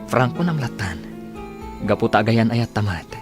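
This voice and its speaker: A man's voice: lowish voice, lively intonation, normal speed, lightly acted. A man playing a devoted, determined young suitor in a Filipino radio drama. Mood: earnest, tender. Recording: music bed under the dialogue.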